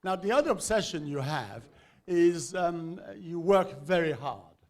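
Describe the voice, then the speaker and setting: A man's voice: on the high side, animated, a little slow, a little mellow. A man interviewing a guest onstage, asking questions with hesitations and stammers. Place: event hall with live audience.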